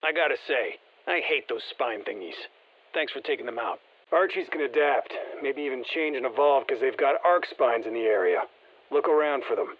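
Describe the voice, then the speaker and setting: A man's voice: medium pitch, expressive, moderate pace, muffled recording. An actor playing a confident, folksy male soldier in a video game, giving colloquial, clipped tactical briefings through a radio filter. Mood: briefing, wry. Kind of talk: scripted game voicelines, mission briefing.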